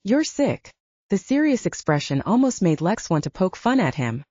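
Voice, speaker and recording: A woman's voice: fairly low pitch, big pitch swings, fairly quick, warm tone, no echo, dull audio. A woman reading fiction aloud as a scripted audiobook narrator.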